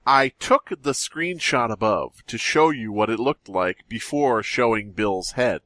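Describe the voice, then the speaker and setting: A man co-hosting a podcast, reading a story aloud and chatting in a relaxed, teasing way. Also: medium pitch, measured pace, no room sound. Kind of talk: casual chat and story reading. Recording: dry close sound.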